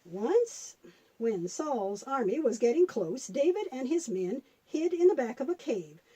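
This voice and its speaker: A patient granny puppet, played by a woman, tells a children's Bible story in a gently instructive way. The voice sits high, with lively melody and a soft, mellow tone. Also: a little slow, breathy edge, exaggerated voice. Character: patient grandmother puppet telling Bible stories.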